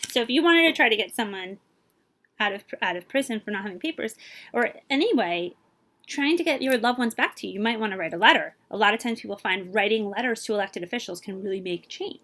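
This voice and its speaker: A woman reading a children's picture book aloud in an animated way, speaking directly to young listeners. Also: on the high side. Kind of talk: expressive children's read-aloud with asides to listeners.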